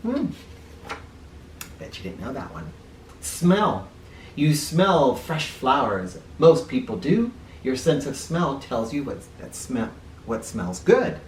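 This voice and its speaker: A man reads aloud to children in a roomy space, a little far from the mic. His delivery is very lively in pitch and playful, with explanations and questions aimed at young listeners. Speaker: children's book reader and teacher. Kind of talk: animated read-aloud with asides for children. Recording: roomy, distant mic, faint noise.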